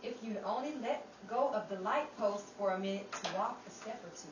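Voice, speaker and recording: A woman's voice: mid-range voice, fairly quick, muffled recording, lightly acted. A woman playing a cautious, practical young friend in a theatrical 1930s New York radio comedy. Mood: wry, exasperated. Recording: noisy roomy stage recording, distant mic, audience.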